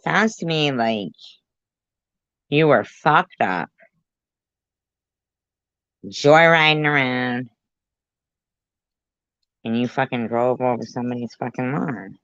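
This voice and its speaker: A woman's voice: very deep voice, slow, long pauses, fairly bright tone, no echo. A woman commentary host reacting to a clip in a blunt, sarcastic, profane way. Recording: dull lo-fi recording.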